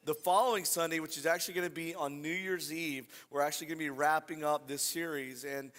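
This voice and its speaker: A man preaching as a pastor in a conversational, informal way, repeating phrases and checking in with listeners, his voice a little scratchy and hoarse. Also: on the high side, fairly even intonation, fairly quick, fairly bright tone. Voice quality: slightly scratchy. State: slightly hoarse from voice loss. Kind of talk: conversational sermon to a congregation. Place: church hall. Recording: reverberant hall sound.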